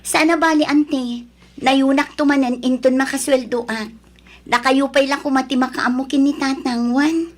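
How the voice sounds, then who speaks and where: An actress playing a coquettish, devoted young woman in an Ilocano radio drama, with emotive, drawn-out delivery. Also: sits high, fairly even intonation, natural voice. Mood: soft, caring. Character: young woman, coquettish with her lover, pleading and devoted daughter.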